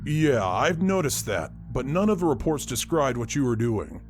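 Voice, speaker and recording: An actor playing a casual, caring skeleton in a comic dub, talking informally and candidly. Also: male voice, medium pitch, very animated, moderate pace, over music, lightly acted. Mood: puzzled, challenging. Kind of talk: fan comic dub voice acting.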